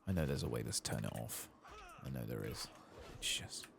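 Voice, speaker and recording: A soft-spoken, hushed man giving unhurried, understated ASMR commentary over a video game. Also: very deep voice, expressive, vocal fry. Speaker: host of a gaming playthrough channel. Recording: faint background noise.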